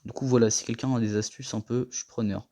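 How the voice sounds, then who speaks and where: A young man giving a casual tour of his gaming setup, rambling and slangy, a little hoarse from a cold. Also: medium pitch, little pitch movement, fast talker, mellow. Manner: rambling, slangy and self-mocking. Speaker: gaming vlogger.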